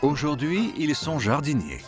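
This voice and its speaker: A man narrating a children's cartoon as an expressive, dramatic storyteller. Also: a little high, measured pace, loud music behind the voice.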